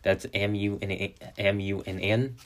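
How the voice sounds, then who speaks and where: Young male podcast host, Chinese native speaker, very deep voice, halting delivery with frequent restarts. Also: fairly even intonation. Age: young adult. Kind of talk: solo podcast monologue.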